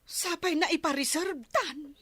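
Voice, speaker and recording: A woman playing a gossipy, excited village woman in a comedy radio drama, with an Ilocano accent. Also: high voice, measured pace, dry recording, studio quality.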